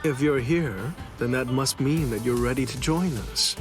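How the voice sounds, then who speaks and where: A man's voice: mid-range voice, moderate pace, music in the background, lightly acted. An actor playing a laid-back, sly male swordsman leader in a game cutscene dub, drawling and mocking. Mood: wry.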